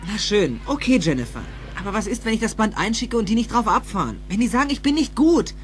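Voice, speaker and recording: A man's voice: sits high, sing-song, fast, a little hiss or hum. A man playing an impulsive, insecure teenage schoolboy in a German radio play, with a youthful, exclaiming delivery. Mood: discouraged.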